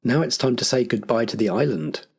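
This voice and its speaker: A man's voice: mid-range voice, fairly quick, gravelly, darkish tone, husky. A man acting as compere, delivering scripted programme notes as a podcast host.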